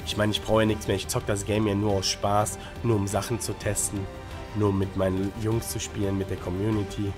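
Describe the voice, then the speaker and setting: A man's voice: on the low side, level tone, a little fast. A man with a slight cold giving casual gameplay tips in a colloquial way, with English gaming slang and frequent tag questions. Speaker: gaming guide creator and streamer. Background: music under the commentary.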